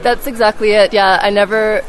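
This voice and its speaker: A young Canadian woman, a film's lead and a graduate student, chatting casually in an interview with lots of fillers and quick restarts. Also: medium pitch, steady tone. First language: Czech heritage, English first. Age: young adult. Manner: chatty, frequent "like" and restarts, laughs easily. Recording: crowd noise outdoors.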